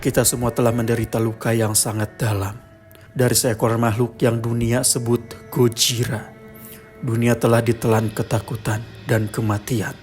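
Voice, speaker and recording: A man voicing a solemn cult preacher in a horror television broadcast, slow, grave and proclaiming. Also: mid-range voice, flat delivery, a little mellow, faint music behind, voice pushed down, natural voice. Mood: solemn, urging.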